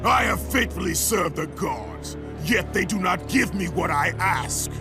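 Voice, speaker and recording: An actor playing a wrathful, battle-hardened Spartan warrior in a video game, with a gravelly growl and a forceful, commanding manner. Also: male voice, high voice, animated, over music. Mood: furious, demanding. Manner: forceful, commanding, slow and deliberate.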